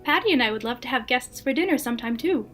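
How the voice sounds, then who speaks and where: A woman's voice: fairly high pitch, expressive, fast, a bit bright, soft background music. A woman playing a genteel, flirtatious 1950s housewife in an audio drama, gracious and coy, with leading questions. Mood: inviting.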